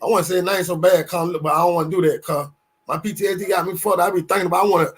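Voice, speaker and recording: A man in his fifties talking casually on a podcast, animated and swearing, full of restarts as he tells stories. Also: sits high, racing. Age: 50s.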